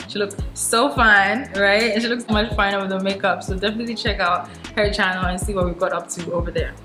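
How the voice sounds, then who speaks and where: A woman's voice: medium pitch, steady tone, brisk, bright, forceful tone, echoey room, faint music behind. Giggly woman vlogger from Ghana. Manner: chatty and giggly. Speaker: vlogger host. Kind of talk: casual chat with a guest.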